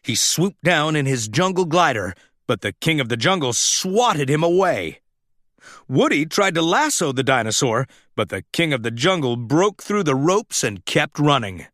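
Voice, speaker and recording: A man narrating a scripted children's story in a dramatic, expressive, deliberate way. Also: a little high, dry recording, clean studio sound.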